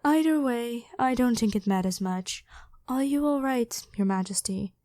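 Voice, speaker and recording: A devoted and protective female knight who heads the royal guard, acted by a woman in an ASMR roleplay. Her voice sits a little high with a very mellow, soft tone. She speaks formally and with deference, as if to a monarch, and the recording is close and dry. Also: lively intonation, normal speed, no echo, lightly acted. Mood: grateful, humble. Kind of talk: scripted ASMR roleplay acting.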